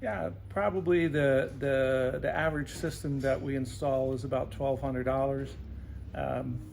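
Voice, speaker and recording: Gravelly-edged man explaining as an expert. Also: medium pitch, steady tone, measured pace, a little mellow, a little hiss or hum. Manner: explains in simple terms, often tags sentences with "right?". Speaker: radon remediation specialist being interviewed. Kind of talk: explanatory interview answers.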